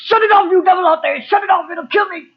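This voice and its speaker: A trapped victim running out of air in a vintage radio horror play. The voice is high and gravelly, gasping out desperate, broken pleas. The old tape sounds muffled. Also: female voice, fast. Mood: frantic, demanding. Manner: gasping, pleading in broken fragments. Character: trapped victim suffocating in an airless chamber, desperate. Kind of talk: 1940s radio horror acting.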